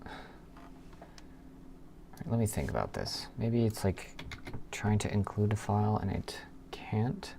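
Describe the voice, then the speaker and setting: A man's voice: on the low side, big pitch swings, a little slow, creaky, pauses often. A man streaming as he codes, thinking aloud casually and muttering to himself. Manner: muttering to himself, murmured asides with sighs and hums. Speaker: programmer live streamer. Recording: noisy room with keyboard clicks. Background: computer keyboard typing and clicking.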